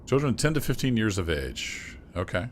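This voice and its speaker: A man streaming a city-building game, chatting casually and thinking aloud as he plays, his voice a little rough. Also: fairly low pitch, normal speed, slight background noise. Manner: relaxed, thinking aloud with fillers and restarts. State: slightly rough voice. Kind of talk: casual gameplay commentary.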